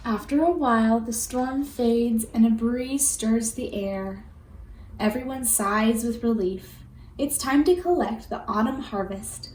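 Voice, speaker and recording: A woman reading a picture book aloud for children in an expressive, deliberate storytelling manner. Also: a little high. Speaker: storybook reader. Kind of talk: children's read-aloud narration. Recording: roomy echo with faint background noise.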